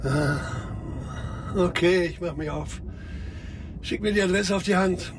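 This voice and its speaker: Grumbly older cop, short sentences, highish lively voice, noisy vehicle sound around him, radio crime play. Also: male voice, measured pace. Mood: irritated, brisk. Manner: curt, grumbling, informal. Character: gruff ageing male police commissioner. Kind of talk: German radio crime drama dialogue.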